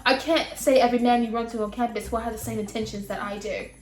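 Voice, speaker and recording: A woman voicing a stern, formal male professor in a story game, speaking deliberately as if lecturing. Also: a little high, steady tone, a little mellow, natural voice. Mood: grave warning. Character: stern, measured male professor, older, cautioning and intense. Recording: roomy echo, music behind.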